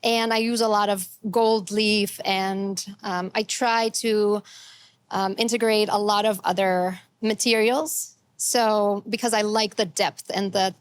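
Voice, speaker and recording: A woman from Egypt, an artist guest giving relaxed, chatty interview answers. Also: medium pitch, takes it slowly, clean tone, dry recording.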